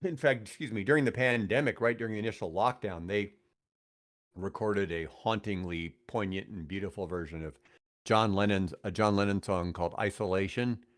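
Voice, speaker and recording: A man's voice: fairly low pitch, moderate pace. A middle-aged American man telling stories in casual conversation as a podcast guest, rambling and enthusiastic. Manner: rambling, enthusiastic about music. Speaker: podcast guest, guitarist and radio host.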